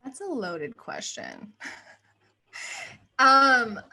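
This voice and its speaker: A woman in her thirties, raised in the United States, chatting as a guest on an interview show, laughing often and filling pauses with "um" and "like". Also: on the high side, sing-song, sharp tone. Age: 30s. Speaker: guest, actor and writer. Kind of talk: casual interview chat.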